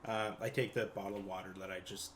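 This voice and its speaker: A man's voice: fairly low pitch, flat delivery, fast. Man chatting casually as a game player. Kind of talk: casual chat in a tabletop game. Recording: faint background noise.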